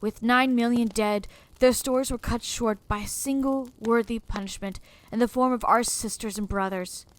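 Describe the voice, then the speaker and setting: A woman's voice: on the high side, fairly even intonation, normal speed, close to an everyday voice. Woman playing a sombre, regretful teacher. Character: sombre, regretful teacher haunted by lost students. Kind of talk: dramatic first-person story narration.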